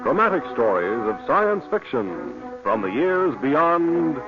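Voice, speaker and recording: A man acting as an American narrator of a 1950s science fiction radio drama, theatrical, measured, grave and suspenseful. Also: medium pitch, huge pitch swings. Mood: dramatic. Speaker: announcer and narrator. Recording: dull old radio recording with music.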